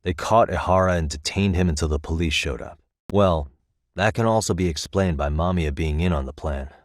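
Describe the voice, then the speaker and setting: Man acting as deep-voiced, analytical game detective. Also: no room sound, studio quality, close to an everyday voice. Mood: analytical, confident. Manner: measured, thoughtful, matter-of-fact delivery. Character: earnest, analytical male detective reasoning through a case. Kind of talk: English video game voice acting, conversational deduction.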